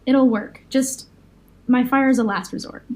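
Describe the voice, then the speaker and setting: A woman's voice: sits high, unhurried, darkish tone. A woman playing a cautious, responsible fire-powered supervillain in an audio drama, careful, firm and earnest.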